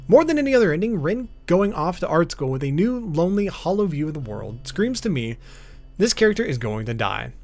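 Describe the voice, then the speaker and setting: A man's voice: on the high side, a little mellow. A man narrating an explainer video about a visual novel.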